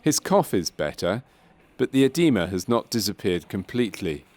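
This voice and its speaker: Male narrator for medical training film: lower register, measured, clinical, scripted. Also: sing-song, darkish tone.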